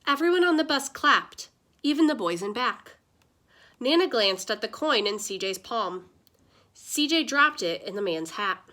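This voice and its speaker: Bright, expressive woman reading aloud. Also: a little high, unhurried. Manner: expressive, lively storytelling. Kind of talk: children's picture book read-aloud.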